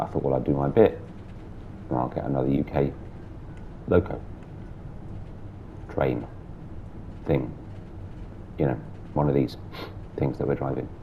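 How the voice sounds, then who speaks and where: Man, very deep and gravelly, blocked-up and hoarse, casual muttering sim-driving commentary over a faint background hiss. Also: warm tone, highs cut off. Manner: chatty, muttering through cab controls. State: sore throat, blocked nose. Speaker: gameplay streamer.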